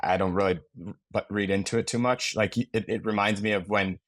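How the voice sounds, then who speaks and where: A man co-hosting a casual market discussion, measured and conversational. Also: fairly low pitch, fairly even intonation, fairly bright tone, dry recording. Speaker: podcast co-host.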